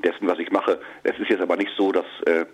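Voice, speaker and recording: A comedian being interviewed, answering casually with many fillers and restarts. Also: male voice, low-pitched, little pitch movement, quick pace, husky, poor audio. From northern Germany. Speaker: comedian and musician. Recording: radio broadcast.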